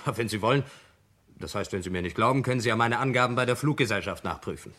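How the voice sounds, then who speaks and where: An actor playing a self-assured nephew and heir under suspicion in a German radio crime play, indignant and emphatic. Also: male voice, mid-range voice, fast talker, a bit bright, close to an everyday voice. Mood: defensive, indignant.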